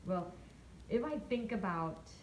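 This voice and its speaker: A young woman from Thailand in her twenties, answering interview questions about her identity in a measured, reflective way. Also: medium pitch, expressive. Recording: echoey room, background noise.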